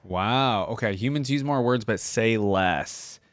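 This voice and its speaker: A man's voice: fairly low pitch, unhurried, no room sound, clean studio sound. A man giving casual, musing reaction commentary on a game as the host.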